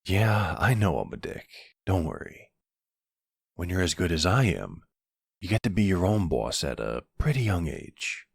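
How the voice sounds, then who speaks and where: Man playing a deep, smug young mafia boss. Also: very animated, creaky, husky, no room sound, clean studio sound, exaggerated voice. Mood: smug, playful. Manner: teasing, unhurried, smug. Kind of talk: ASMR boyfriend roleplay acting.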